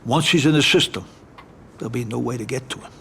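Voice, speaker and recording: A man's voice: mid-range voice, fast, creaky, fairly bright tone, a little hiss or hum. A man playing a shrewd, level-headed mafia family adviser in a video game cutscene, speaking in a matter-of-fact, advisory way. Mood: measured warning. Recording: music under the voice.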